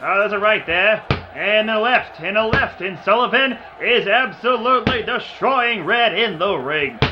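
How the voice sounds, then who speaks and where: An actor playing an excitable boxing commentator calling a fight over a noisy broadcast. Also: male voice, high voice, unhurried. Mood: excited. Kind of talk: sports commentary. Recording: dull lo-fi broadcast, loud noise.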